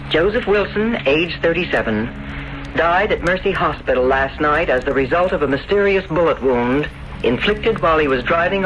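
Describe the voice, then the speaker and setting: A man's voice: a little high, a bit bright. An actor playing a wry, sardonic man reading aloud in a 1970s radio drama. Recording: muffled vintage radio, music behind.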